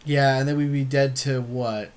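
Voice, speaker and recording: A man's voice: mid-range voice, steady tone, normal speed, a little mellow. A man co-hosting a podcast, casually and agreeably talking through a card game with his partner. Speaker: podcast co-host. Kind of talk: casual chat while playing a card game. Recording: clear background noise.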